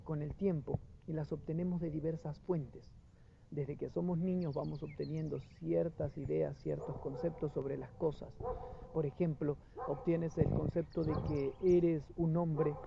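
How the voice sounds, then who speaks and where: A man with a gravelly, fry-heavy voice pitched a little high gives a reflective spiritual talk. He muses slowly, repeating phrases as he feels his way forward. The recording is dull and lo-fi with steady noise behind him. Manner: unhurried and searching, repeating phrases as he thinks aloud. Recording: dull lo-fi with clear background noise.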